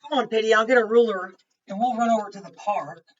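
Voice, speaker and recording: A woman reading aloud as an eager schoolgirl who chatters to her dogs in a storybook, with an expressive delivery. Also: a little high, fast talker, muffled recording, pitched above the performer's own voice, close to an everyday voice. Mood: eager, bossy. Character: eager schoolgirl measuring her dog for homework.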